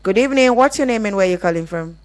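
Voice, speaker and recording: A woman's voice: mid-range voice, animated, quick pace. A woman with a Nigerian accent presenting a live radio advice call-in show, explaining things conversationally and often rephrasing as she goes.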